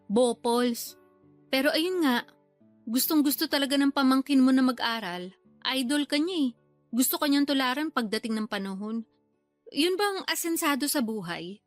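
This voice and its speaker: A woman's voice: a little high, dry recording, lightly acted. A woman playing a hard-up, complaining middle-aged older sister and mother in a Filipino radio drama. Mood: pleading. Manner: complaining, defensive, blunt. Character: aggrieved, hard-up older sister, struggling mother of many children.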